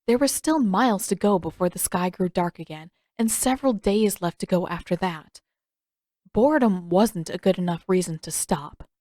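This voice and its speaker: A woman's voice: lowish voice, normal speed, a little mellow, dry recording, studio quality. A woman reading scripted fiction as an audiobook narrator.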